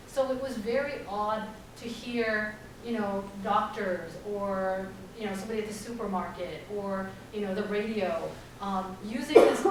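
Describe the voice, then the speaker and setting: A woman's voice: mid-range voice, slight background noise. Thoughtful woman, native Tagalog speaker, echoey hall. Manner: thoughtful, with frequent "you know". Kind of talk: reflective storytelling on a panel. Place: large echoey room. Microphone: distant room mic. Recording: echoey hall recorded from far off.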